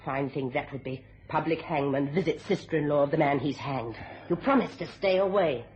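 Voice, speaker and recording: An actress playing a curt, scornful, greedy British schemer in a radio thriller. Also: female voice, low voice, moderate pace, darkish tone, slight background noise, close to an everyday voice. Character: cold, scheming, greedy femme fatale. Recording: dull lo-fi radio drama recording.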